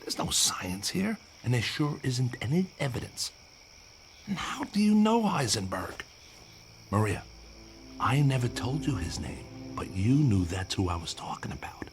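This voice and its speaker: An actor playing a skeptical, sarcastic writer who is rattled and argumentative, in an audio drama. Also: male voice, medium pitch, sing-song, a little slow, over music. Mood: agitated, accusing. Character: skeptical, rattled male author writing about UFOs.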